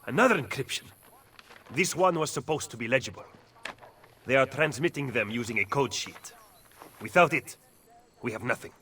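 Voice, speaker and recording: A man's voice: mid-range voice, lively intonation, moderate pace, long pauses, pushed and bright, a little hiss or hum. A male actor playing an earnest, anxious Renaissance Italian strategist in a video game, speaking formally and urgently with an Italian accent. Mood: frustrated. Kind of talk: video game cutscene acting.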